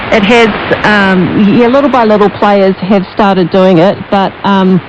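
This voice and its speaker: A woman with a New Zealand accent talking conversationally in a radio interview as a sports pundit, heard over shortwave. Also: medium pitch. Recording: dull, noisy shortwave radio reception.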